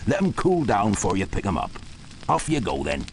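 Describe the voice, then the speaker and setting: A big hearty groundskeeper from a video game, very low and gravelly, with a West Country English accent. He talks in a folksy, friendly way that rises and falls freely. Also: wildly swooping pitch, brisk, a little hiss or hum, exaggerated voice, poor audio. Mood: hearty, confiding. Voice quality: gruff, gravelly. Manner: folksy, hearty, dropped sounds. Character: big friendly groundskeeper fond of monsters, warm and eager. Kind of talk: video game character acting. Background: game music.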